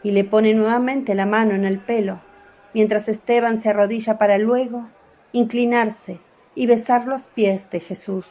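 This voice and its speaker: A woman narrating a scripted radio drama in a calm, even storytelling voice. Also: on the high side, moderate pace.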